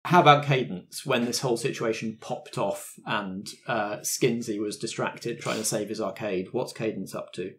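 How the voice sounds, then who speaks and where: A man's voice: fairly low pitch, moderate pace, hardly any pauses, fairly bright tone, slight background noise. A man speaking as game master, explaining rules and narrating scenes in a conversational, encouraging way. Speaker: game master of a tabletop roleplaying podcast. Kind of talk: improvised tabletop game narration and casual chat.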